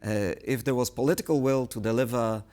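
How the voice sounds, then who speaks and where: A man speaking as a guest analyst in a current affairs interview, explaining things in a measured, analytical way. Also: mid-range voice, mellow. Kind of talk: studio interview commentary.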